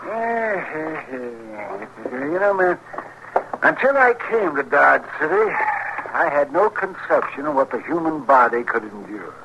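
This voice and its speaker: An irascible, sardonic old-West doctor. His voice sits fairly high and jumps about in pitch, testy and fussy. Clear noise from an old radio recording surrounds it. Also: a little slow, muffled recording. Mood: wry, reflective. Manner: testy, animated. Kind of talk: old-time radio western acting.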